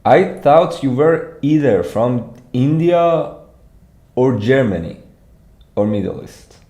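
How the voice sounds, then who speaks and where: A man from Iran with a Persian accent, giving an informal livestreamed walkthrough as a programming instructor, thinking aloud haltingly. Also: medium pitch, expressive, dark tone. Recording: echoey room.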